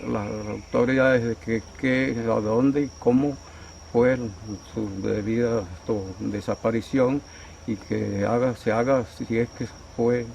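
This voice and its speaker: A man's voice: medium pitch, fairly even intonation, slow, gravelly, darkish tone, a little hiss or hum. A man from the community, earnestly giving a testimony while searching for words. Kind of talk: testimonial interview. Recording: distant mic with faint outdoor ambience.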